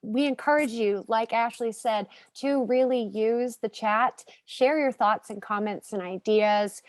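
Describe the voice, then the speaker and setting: A woman giving technical support instructions during a webinar in a relaxed, chatty way with many fillers. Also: a little high, unhurried, no echo. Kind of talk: casual instructions.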